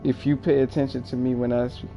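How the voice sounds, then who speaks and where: A man's voice: mid-range voice, little pitch movement, fast, creaky, lo-fi sound, faint music behind. A man chatting casually with viewers while streaming a game, rambling with many "like" fillers. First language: English. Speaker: livestreamer. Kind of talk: casual chat while gaming.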